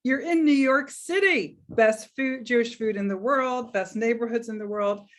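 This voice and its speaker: A woman's voice: on the high side, moderate pace. Upbeat woman welcoming students on a video call. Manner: enthusiastic, upbeat.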